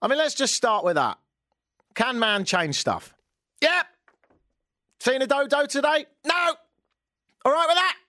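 Lively sarcastic man ranting. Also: very high-pitched, unhurried, pauses often, dry recording, studio quality. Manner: mocking, exasperated, lively. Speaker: radio show host. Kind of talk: sarcastic comic rant.

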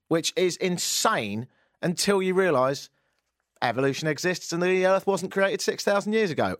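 A man's voice: a little high, dry recording, clean studio sound. A man hosting a radio show, delivering a mocking, exasperated comic rant.

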